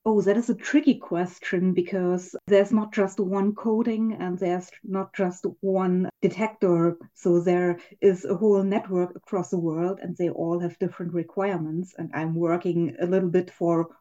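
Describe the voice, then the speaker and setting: A woman's voice: fairly low pitch, fairly even intonation, normal speed, hardly any pauses, mellow, lo-fi sound. A woman researcher answering interview questions, explaining her work in a friendly way with a few hesitations. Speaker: physicist, interview guest.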